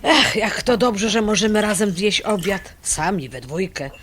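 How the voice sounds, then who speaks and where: Actress as fussy middle-aged mother, medium pitch, brisk scolding, doting, audio drama with kitchen clatter. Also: lively intonation, a bit bright, slight background noise, natural voice. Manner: brisk scolding, cutting him off. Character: bossy, doting, fussy mother of a grown son.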